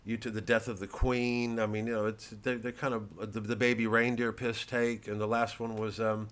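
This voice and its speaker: Nervous rambling man, lowish voice. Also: level tone, normal speed, fairly bright tone. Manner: rambling, self-mocking, many restarts and fillers. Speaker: comedian promoting his show. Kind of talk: casual direct-to-camera chat.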